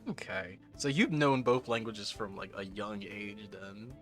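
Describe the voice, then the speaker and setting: A man's voice: lowish voice, unhurried, fairly bright tone, soft background music. A man hosting a casual interview, chatting in a laid-back, agreeable way and laughing easily. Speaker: interviewer, host.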